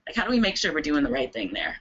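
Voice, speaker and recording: A woman's voice: low voice, very animated, rapid-fire, fairly bright tone, slightly rough, highs cut off. A woman moderating a discussion, agreeing and then posing a question. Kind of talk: conversational discussion.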